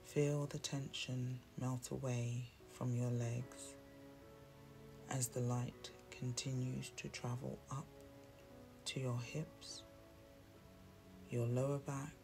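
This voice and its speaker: A meditation guide speaks extremely slowly in a soothing, hushed way, with a creaky edge to the voice. The delivery is even and deliberate, leaving long pauses, and instrumental music plays behind it. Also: medium pitch. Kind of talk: guided meditation, scripted narration. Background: soft instrumental music.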